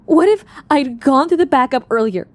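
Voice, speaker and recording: A woman's voice: sits high, animated, moderate pace, no echo. A woman acting in an audio drama as a guilt-ridden young woman searching for her missing sister. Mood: anguished, tearful.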